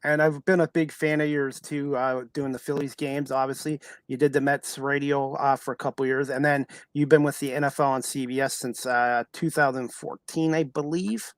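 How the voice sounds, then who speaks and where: A man's voice: mid-range voice, flat delivery, moderate pace, hardly any pauses, dry recording. A middle-aged man hosting a sports podcast, chatting casually and earnestly with his guest. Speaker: podcast host. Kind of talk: casual interview chat.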